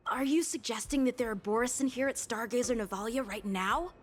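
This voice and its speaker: A woman's voice: fairly high pitch, fairly quick, close to an everyday voice. A woman voicing an earnest, polite young swordsman in a video game, asking questions carefully. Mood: earnest, curious.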